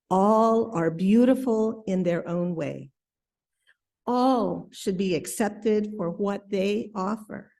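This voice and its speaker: Slow, measured woman leading a service. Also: fairly low pitch, gravelly, mellow. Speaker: service leader.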